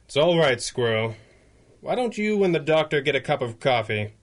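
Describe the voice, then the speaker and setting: Actor as a firm, guarded masked crimefighter; clipped lines, radio drama. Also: male voice, medium pitch, very animated, moderate pace, natural voice. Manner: curt, guarded. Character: stern male masked hero, guarded and firm.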